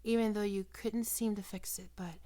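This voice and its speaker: A woman voicing a possessive, predatory vampire doctor in an ASMR roleplay, breathy and often whispering, coaxing her prey with condescending, sensual words. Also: medium pitch, fairly even intonation, moderate pace, mellow, close to an everyday voice. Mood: fond, sinister. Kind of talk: ASMR roleplay, intimate and slow.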